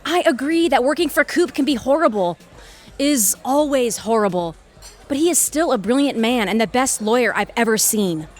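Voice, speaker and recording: A woman acting as an exacting senior lawyer in an audio play, her voice on the high side. She is cutting and articulate, with a sarcastic edge, and music plays behind her. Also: lively intonation, normal speed, close to an everyday voice. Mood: irritated. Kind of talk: audio theatre dialogue acting.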